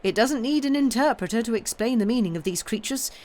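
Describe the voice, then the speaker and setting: A woman voicing a fierce, loyal older Englishwoman, talking briskly and emphatically, with her voice lifting high and loud. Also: animated, lightly acted. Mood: emphatic.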